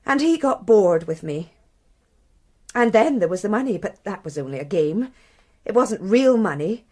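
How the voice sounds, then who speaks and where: Actress as a brittle, wistful upper-class English wife. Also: female voice, mid-range voice, animated, normal speed, darkish tone, lightly acted. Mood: wistful, bitter. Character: confessing aristocratic wife, bitter, brittle, wistful and defiant. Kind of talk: radio crime drama acting.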